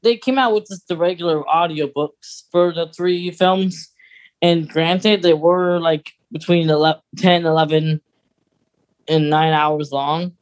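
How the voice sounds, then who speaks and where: A podcast co-host in casual film discussion, talking haltingly and thinking out loud. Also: medium pitch, fairly even intonation, poor audio.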